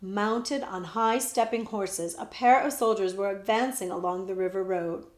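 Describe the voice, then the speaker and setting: A woman librarian reading a children's book aloud with expressive storytelling, dropping to whispers at times. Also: mid-range voice, moderate pace, reverberant. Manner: expressive storytelling, shifts between whispers and loud voices.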